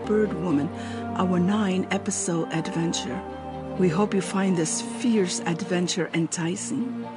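A woman's voice: lowish voice, a little slow. A woman reading scripted promotional narration for an adventure series. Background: loud music.

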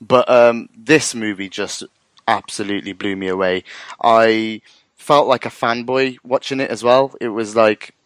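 A man, co-hosting a podcast in casual chat, excitable and quick to swear and laugh. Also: lowish voice, clear, resonant tone, no echo. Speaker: podcast co-host.

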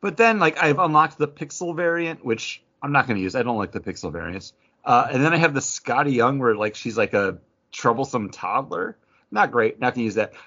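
A man's voice: mid-range voice, expressive, a little fast, pushed and bright. A man hosting a podcast, chatting casually and enthusiastically about a hobby. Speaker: podcast host. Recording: dull lo-fi audio.

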